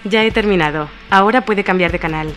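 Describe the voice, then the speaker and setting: A woman acting as a composed, formal lecturer in scripted radio theatre, with a measured, didactic manner. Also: medium pitch, fairly bright tone, lightly acted. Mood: insistent, exasperated. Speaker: radio drama narrator. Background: music.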